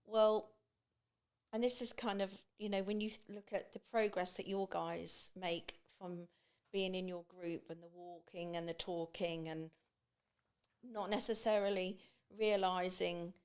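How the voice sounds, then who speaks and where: A woman's voice: fairly low pitch, fairly even intonation, a little slow, a little mellow, clean tone. A woman guest on a podcast, talking casually with many restarts and fillers. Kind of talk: casual conversation.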